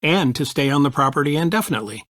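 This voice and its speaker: Measured, middle-aged American lawyer dad. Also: male voice, a little high, animated, fairly bright tone, no echo, clean studio sound. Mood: analytical. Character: middle-aged lawyer father, analytical, supportive mentor. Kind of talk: video game dialogue acting.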